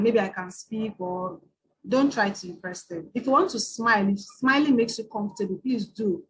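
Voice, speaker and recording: Nigerian female trainer, conversational webinar lecture, computer mic, mid pitch. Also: low-quality recording. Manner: conversational, frequent tag question "right?". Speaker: IELTS trainer.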